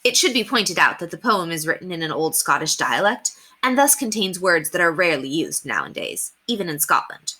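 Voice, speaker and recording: A woman reading a children's story aloud as a teacher would, with deliberate emphasis on key words. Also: fairly low pitch, very animated, bright, forceful tone, husky. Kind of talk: expressive storybook read-aloud narration.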